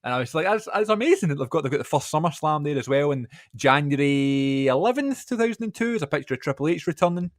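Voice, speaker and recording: A man co-hosting a podcast, telling an excited story in casual chat. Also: a little high, no room sound, studio quality. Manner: fast, animated storytelling. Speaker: podcast co-host.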